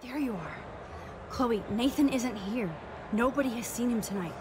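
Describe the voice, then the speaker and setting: A woman playing an earnest, worried teenage girl student in a video game, speaking softly and with some hesitation. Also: on the high side, expressive, normal speed, noisy background, close to an everyday voice. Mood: concerned. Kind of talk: video game cutscene dialogue.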